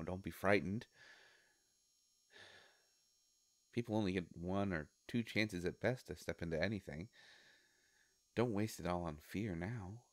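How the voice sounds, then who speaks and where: A man playing a sly devilish shopkeeper in a roleplay audio, coaxing and musing with hesitant pauses. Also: deep voice, no room sound. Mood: amused, reassuring. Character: sly devilish male shopkeeper of a wish-granting store. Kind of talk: scripted roleplay audio monologue.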